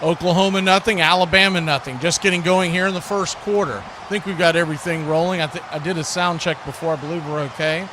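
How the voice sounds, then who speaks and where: A man's voice: a little high, fairly even intonation, fast talker, few pauses, background noise. A man doing live football play-by-play, mixing clipped calls with folksy, chatty asides. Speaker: play-by-play announcer and stream host.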